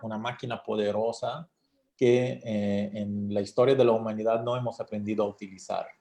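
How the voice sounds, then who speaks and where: A man from Switzerland whose first language is not Spanish, a coach speaking as a radio interview guest with frequent pauses and fillers. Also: lowish voice, flat delivery, warm tone. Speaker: guest, brain-training coach.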